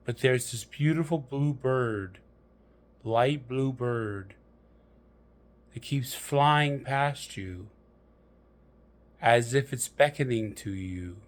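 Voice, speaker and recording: A man narrating a guided meditation in a deliberate, measured storytelling manner with pauses. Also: mid-range voice.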